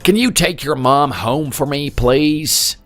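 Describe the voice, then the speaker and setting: A man's voice: fairly high pitch, takes it slowly, fairly bright tone, faint music behind. Man acting a polite, concerned village neighbour. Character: apologetic male villager phoning with bad news. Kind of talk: dramatic dialogue.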